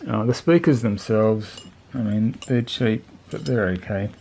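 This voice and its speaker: A man's voice: fairly low pitch, a little slow, creaky, a little mellow, slight background noise, rough recording. A man giving a casual, unscripted product review in a laid-back, colloquial, plain-spoken way. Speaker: motorcycle video channel host reviewing gear.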